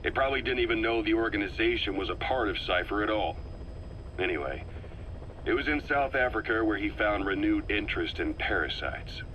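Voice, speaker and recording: A male actor playing a grave, calculating military intelligence man, delivering a deliberate, ominous briefing as a video game audio log. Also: on the low side, lively intonation, pushed and bright, rough recording. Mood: ominous, brooding. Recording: faint noise, cassette tape briefing.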